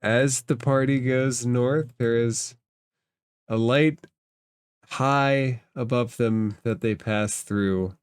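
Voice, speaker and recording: A man narrates a tabletop fantasy game as its game master. His voice is smooth and clear, and he takes his time with formal phrasing. The sound is dry, with little room in it. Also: mid-range voice, fairly even intonation, takes it slowly, no room sound.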